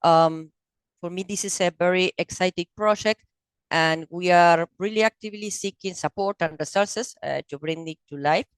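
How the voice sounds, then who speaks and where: A woman gives a prepared talk over a video call. Her voice is smooth and resonant, with a dry, close sound. She halts often, with fillers and restarts. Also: on the low side, no echo. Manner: halting, with many fillers and restarts. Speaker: committee member speaking at a meeting.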